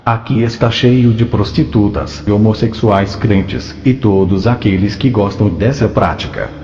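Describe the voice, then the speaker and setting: A man's voice: on the low side, a little mellow, a little hiss or hum. Cavernous, solemn Jesus acted by a man. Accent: Brazilian. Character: solemn, commanding male Jesus speaking in a vision of hell. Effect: heavy reverb on the voice. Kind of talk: dramatized religious testimony. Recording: dull lo-fi, long cavernous reverb, music behind.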